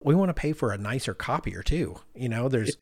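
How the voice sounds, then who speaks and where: A man's voice: mid-range voice, expressive, a little fast, darkish tone, no room sound, studio quality. A man hosting a conversational podcast, talking informally and thinking aloud as he goes. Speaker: podcast host.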